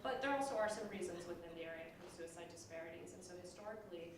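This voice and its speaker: A woman's voice: on the low side, racing. A woman researcher giving an academic lecture with slides in a semi-conversational, explanatory way, with frequent ums and you-knows. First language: bilingual, Puerto Rican background. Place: indoor lecture room. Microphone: distant room microphone. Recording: roomy echo, distant mic, clear background noise.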